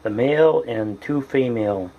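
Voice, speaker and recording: A man presenting a casual hands-on tutorial as a hobbyist, speaking in a halting, deliberate, plain-spoken way. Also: medium pitch, lo-fi sound. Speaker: hobbyist presenter demonstrating radio gear.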